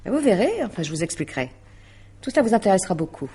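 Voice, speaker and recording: An actress playing a composed, persuasive astrologer in a French radio drama, speaking in a measured, explanatory way. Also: female voice, medium pitch, very animated, mellow, husky. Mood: grave, insistent. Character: composed, persuasive female astrologer consulting a client. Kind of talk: 1950s-style French radio drama acting.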